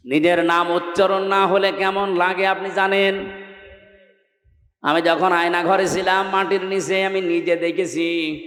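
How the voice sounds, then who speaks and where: A Bangladeshi man preaching an Islamic sermon to a gathering in an emphatic, exhorting way, swinging between raised cries and hushed lines over a public-address microphone. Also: fairly high pitch. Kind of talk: sermon to a public gathering, with chanted scripture recitation. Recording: echoing loudspeaker sound, long reverb.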